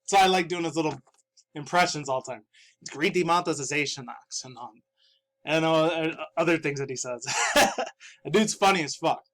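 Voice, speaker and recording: A man in his thirties talks gaming news from his own room, sounding a little stuffy from the dust. His voice sits somewhat high for a man. He rambles loosely, curses freely and chuckles between thoughts. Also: moderate pace, fairly bright tone. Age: 30s. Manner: rambling, profane, laughing often. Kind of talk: casual video commentary.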